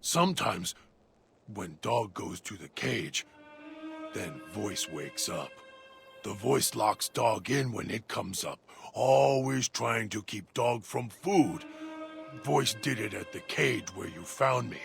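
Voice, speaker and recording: A man doing a gravelly deranged dog-creature. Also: fairly low pitch, animated, a bit bright, heavily acted. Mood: eerie. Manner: broken third-person speech, halting. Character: deranged feral dog-creature, male, hungry. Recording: music bed underneath.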